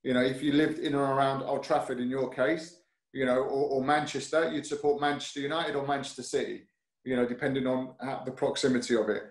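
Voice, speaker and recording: A man's voice: medium pitch, fairly even intonation, brisk. London man in a roomy echo. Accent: London English. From North London, UK. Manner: hesitant, many fillers and restarts, easygoing. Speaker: guest. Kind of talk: casual interview chat.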